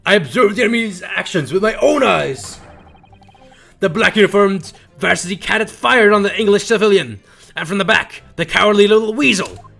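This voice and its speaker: A man's voice: high-pitched, expressive, moderate pace, vocal fry, pushed and bright, soft background music, pitched above the performer's own voice, full character voice. A man voicing a zealous army sergeant from a video game, reporting in clipped, emphatic military bursts. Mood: barking, zealous.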